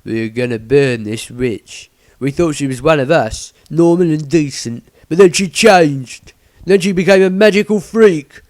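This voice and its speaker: Man doing a loud, raised-pitch angry villager. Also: measured pace, dry recording, lightly acted. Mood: defiant. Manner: blunt, indignant, coarse. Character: angry rustic male villager, stubborn witch-burner. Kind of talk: storybook character acting.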